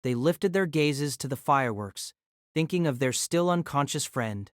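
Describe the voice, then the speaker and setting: A woman's voice: low-pitched, expressive, normal speed, no room sound, studio quality. A woman reading scripted narration of a novel as an audiobook. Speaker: narrator and reader.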